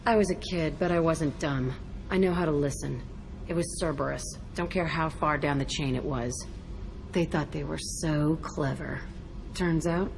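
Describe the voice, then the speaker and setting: An actress playing an embittered, tough young ex-prisoner in a video game, blunt, terse and profane. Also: female voice, deep voice, vocal fry, background noise. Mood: angry, defiant. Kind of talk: video game dialogue acting.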